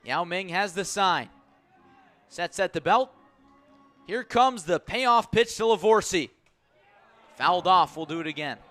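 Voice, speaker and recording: Bright, punchy male baseball play-by-play announcer. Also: on the high side. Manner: clipped, punchy phrases that build with the action. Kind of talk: live sports play-by-play commentary.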